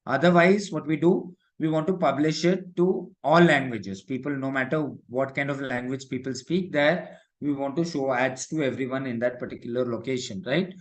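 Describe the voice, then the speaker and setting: Indian guy teaching an online class, normal mid pitch, explains step by step, keeps tacking on little check-in words. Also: moderate pace, hardly any pauses. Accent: Indian English. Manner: repetitive check-in tags like "right" and "okay". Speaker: digital marketing instructor. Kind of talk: live online software lesson, explanatory.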